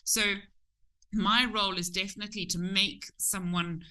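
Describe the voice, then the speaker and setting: A woman's voice: mid-range voice, fairly even intonation, normal speed, pushed and bright. A middle-aged woman from Zimbabwe with a Zimbabwean accent, chatting openly as an interview guest. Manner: open and chatty, some restarts. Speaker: tour company owner, guest.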